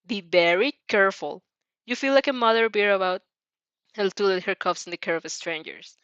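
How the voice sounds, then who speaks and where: A woman's voice: mid-range voice, animated, normal speed, fairly bright tone, clean tone, no echo, highs cut off. A woman reading fan fiction as an amateur, narrating expressively and sometimes stumbling over or repeating words. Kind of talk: expressive story narration with character voices.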